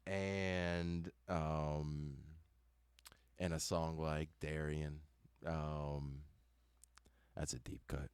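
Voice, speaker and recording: Deep, slow-talking young man. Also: clear, resonant tone, no echo. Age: 20s. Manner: relaxed, hesitant, many ums. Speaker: band member, instrumentalist. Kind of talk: casual interview conversation.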